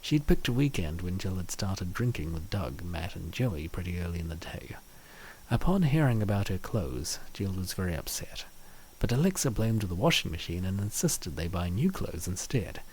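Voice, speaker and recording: A man's voice: sits low, very animated, moderate pace, darkish tone, slight background noise, poor audio. A man reading scripted story narration as the narrator of a comic dub.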